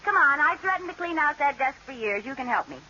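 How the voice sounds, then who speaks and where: A woman playing an excitable, scheming housewife in an old radio sitcom, theatrical and breezy. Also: high voice, fast. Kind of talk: 1940s radio sitcom acting. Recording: muffled, hissy old radio recording.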